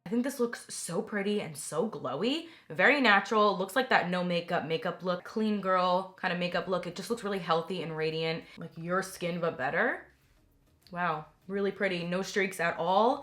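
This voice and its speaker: A young woman talking through a makeup product review in a chatty, enthusiastic way, her voice slightly raspy from a recent cold. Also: on the low side, normal speed, a bit bright. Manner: chatty, enthusiastic, many "like" fillers. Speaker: beauty vlogger.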